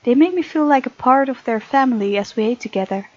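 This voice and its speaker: A woman with a fairly high voice reads a visual novel aloud, adding casual, expressive commentary. The sound is dry and a little muffled, like a modest home microphone. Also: fast talker, no echo. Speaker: gaming video host.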